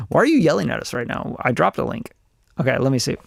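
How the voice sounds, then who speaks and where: A man's voice: medium pitch, very animated, quick pace, vocal fry, no room sound, studio quality. A man in his forties from Costa Rica, a music producer chatting on a livestream in a rambling, blunt, swearing way. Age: 40s. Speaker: music producer reviewing songs live. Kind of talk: casual livestream chat.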